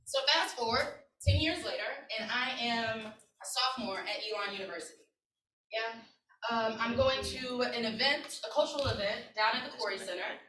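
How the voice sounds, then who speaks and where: Woman, 30s, workshop presenter; earnest storytelling, bright tone, distant mic. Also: fairly high pitch, moderate pace, a little hiss or hum. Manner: earnest, conversational, checks in with the audience. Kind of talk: personal storytelling talk. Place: conference room with an audience. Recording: echoey room, distant mic.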